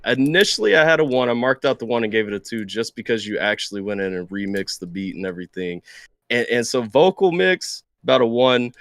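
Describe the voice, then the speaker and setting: A man of middle pitch talks through his scores for a song, pausing and searching for words as he goes. His voice sounds dry and close, with no echo. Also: a bit bright. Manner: hesitant, searching for words with fillers. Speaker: guest judge. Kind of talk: casual review chat.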